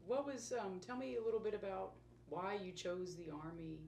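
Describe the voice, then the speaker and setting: A woman interviewer asking gentle, hesitant questions from off-mic. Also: mid-range voice, lively intonation, moderate pace, vocal fry, recorded from a distance, slight background noise. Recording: echoey room, off-mic.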